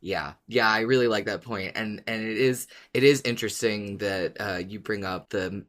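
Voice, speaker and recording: Lower-voiced man thinking aloud. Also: fairly bright tone. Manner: hesitant, measured, thinking aloud. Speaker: podcast co-host. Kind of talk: casual discussion of a fiction podcast.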